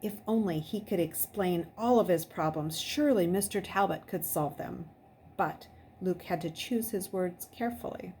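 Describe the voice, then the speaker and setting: A woman reading a novel aloud as an expressive storyteller. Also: lowish voice, moderate pace, slight background noise. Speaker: audiobook reader.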